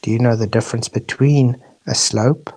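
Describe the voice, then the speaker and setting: A man's voice: medium pitch, steady tone, normal speed, a little mellow. A man from South Africa hosting a heated online debate and pressing for yes or no answers. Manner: insistent, pressing questions.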